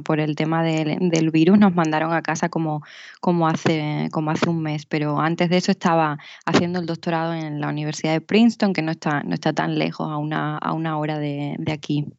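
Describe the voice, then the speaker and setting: A woman in her thirties from Spain with a southern Spanish accent, answering interview questions from home in a relaxed, reflective way. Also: low-pitched, no room sound, lo-fi sound. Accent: southern Spanish, Extremadura/Andalusia. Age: 30s. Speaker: guest writer.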